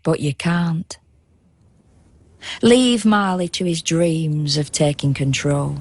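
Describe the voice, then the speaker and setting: An actress playing a knowing, unsparing narrator in a poetic radio drama, measured and wry. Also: female voice, deep voice, fairly even intonation, darkish tone, breathy edge, no echo, exaggerated voice. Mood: wry challenge.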